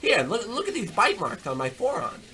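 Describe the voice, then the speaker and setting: A man playing a cocky, boastful diner chef and war veteran in a comedic radio play, brash and quick to butt in. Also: high voice, big pitch swings, sharp tone, full character voice. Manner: brash, bragging, interrupting, calls people pal. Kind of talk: comedic old-time radio play acting.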